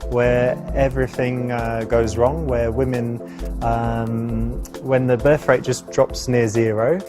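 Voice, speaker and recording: A man's voice: medium pitch, level tone, takes it slowly, natural voice. A man playing a chatty, flirtatious date, talking casually and a little hesitantly with tag questions. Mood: casual, curious. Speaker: dating coach and actor in a seduction game. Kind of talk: casual conversational dialogue. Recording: music behind the voice.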